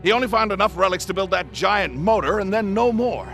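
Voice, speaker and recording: A man voicing an eccentric, excitable older scientist in a video game, with a genteel, exclamatory manner. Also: high voice, a little fast, soft background music. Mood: eager.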